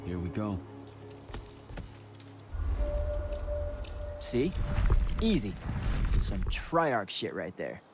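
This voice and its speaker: A man's voice: fairly low pitch, sing-song, slow, heavily acted. Cocky young male recruit, sarcastic, casual, a bit hesitant, game dialogue, music behind. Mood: flippant. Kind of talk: naturalistic video game acting.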